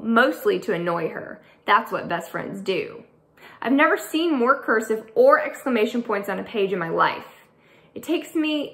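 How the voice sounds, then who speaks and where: A woman author reading her middle grade novel aloud, with an expressive storytelling delivery. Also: on the high side. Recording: echoey room.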